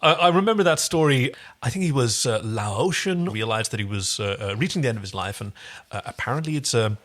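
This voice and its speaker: A man's voice: mid-range voice, lively intonation, a little fast, a bit bright. A man co-hosting a podcast, casually recapping a TV episode in a wry, rambling storytelling way. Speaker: podcast co-host.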